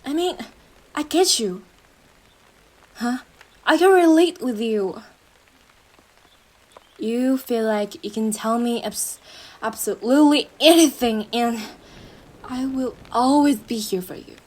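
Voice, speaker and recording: A woman's voice: fairly high pitch, darkish tone. A woman playing a young, obsessive yandere girlfriend in a roleplay monologue, syrupy and menacing, speaking intimately to her partner with a jealous intensity. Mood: possessive, adoring. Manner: slow, sugary endearments swinging into intense jealous outbursts. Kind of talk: dramatic roleplay monologue addressed to the listener.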